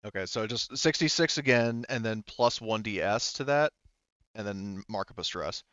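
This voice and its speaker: A man with a smooth, clean voice runs a tabletop game in an informal way. He sounds close and dry, as on an online voice call, with the sound a little dulled. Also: on the low side, normal speed, fairly bright tone, no echo, dull audio. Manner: informal, sweary. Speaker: game master. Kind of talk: casual online tabletop game narration and rules talk.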